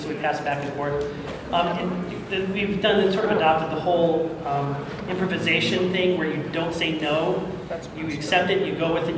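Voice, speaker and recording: A man speaking informally as he explains things on a convention panel as an audio drama producer. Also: on the high side. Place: large indoor convention room. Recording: very echoey hall, distant mic, noisy.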